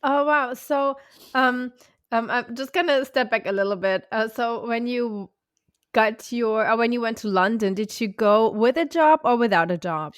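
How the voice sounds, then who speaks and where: A woman's voice: fairly high pitch, moderate pace, no room sound, studio quality. A woman with German as her first language hosts a podcast interview in a casual, chatty way, often stalling with fillers and restarting her questions. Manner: hesitant, with many fillers and restarts. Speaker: podcast host.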